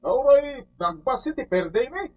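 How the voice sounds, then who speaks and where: A man's voice: very high voice, very animated, measured pace, exaggerated voice. A man playing a whiny, nagging worker pressing for pay in a radio comedy drama.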